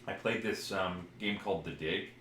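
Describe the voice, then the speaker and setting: A man with a very deep voice talks casually as a podcast co-host about old games. He rambles and feels around for details. The room is echoey, with some background noise. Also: normal speed, a bit bright. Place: indoor room, recorded live on stream. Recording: roomy echo, clear background noise.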